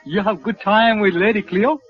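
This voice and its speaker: An actor in a 1950s radio drama playing a friendly, teasing hotel porter who speaks broken English. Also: male voice, high-pitched, steady tone, moderate pace, soft background music, close to an everyday voice. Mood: teasing, cheerful. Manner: broken English with dropped words. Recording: dull old radio broadcast.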